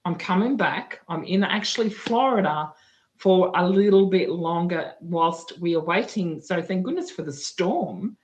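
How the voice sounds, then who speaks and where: A woman with a husky, tired voice hosting a livestream news talk, chatty and full of fillers and restarts. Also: on the low side, lively intonation, unhurried. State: husky voice from talking too much. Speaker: guest news presenter.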